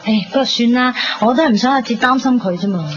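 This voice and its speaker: A woman's voice: fairly high pitch, quick pace, natural voice. An actress with a Hong Kong Cantonese accent playing a chatty, bossy, teasing young female friend in a 1990s radio drama. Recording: dull radio recording, noisy background.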